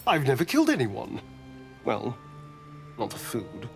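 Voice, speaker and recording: An actor playing a suave, furtive vampire in a video game, with a theatrical, halting and silky delivery. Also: male voice, medium pitch, huge pitch swings, gravelly, soft background music, full character voice. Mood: weak, pleading. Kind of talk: dramatic video game dialogue.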